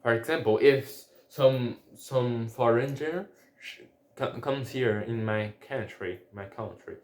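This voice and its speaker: Slow, halting Brazilian-accented young man. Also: medium pitch, darkish tone, reverberant. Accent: Brazilian Portuguese. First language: Portuguese. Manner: halting, many restarts and repeated words. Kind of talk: casual personal monologue.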